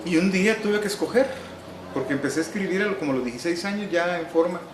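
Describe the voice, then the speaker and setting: A Salvadoran man in his sixties, a novelist, answers interview questions in an unhurried, wry way, often pausing on "eh". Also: sits high, expressive, echoey room, noisy background. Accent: Salvadoran Spanish. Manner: unhurried, wry, frequent "eh" pauses. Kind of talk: relaxed reminiscing interview answers.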